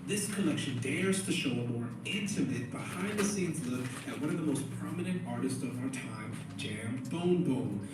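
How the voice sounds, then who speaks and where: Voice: mid-range voice, animated, moderate pace, heavy background noise, close to an everyday voice. An actor playing a flustered, defensive young internet-famous artist in a surreal audio play. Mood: indignant. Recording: echoey, far from mic, loud music.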